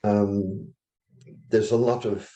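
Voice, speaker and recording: A man talking as a guest in a casual conversation, measured and a little hesitant. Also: on the low side, steady tone, dark tone, muffled recording.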